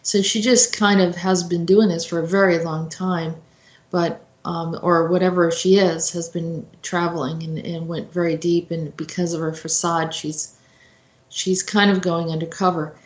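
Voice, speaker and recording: A woman giving an informal explanatory talk as a host, in a halting, reflective manner. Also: fairly low pitch, level tone. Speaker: host commentator. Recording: roomy, echoey sound.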